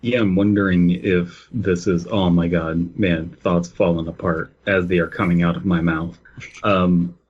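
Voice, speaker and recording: Husky-voiced man on a podcast. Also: low voice, fairly even intonation, a little slow, muffled recording. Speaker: podcast co-host. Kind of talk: casual reading aloud and commentary.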